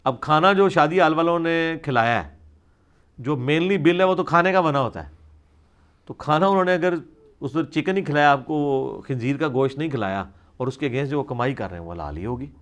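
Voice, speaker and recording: A man's voice: on the high side, normal speed, a bit bright. A man answers religious questions in an informal, explanatory lecture, reasoning conversationally as a scholar. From South Asia.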